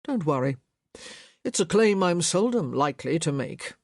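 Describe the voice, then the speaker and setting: A woman voicing a stern, proud young gentleman in an audiobook, speaking firmly with controlled, clipped delivery. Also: low voice, big pitch swings, moderate pace, no echo, studio quality, exaggerated voice. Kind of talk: audiobook character voice.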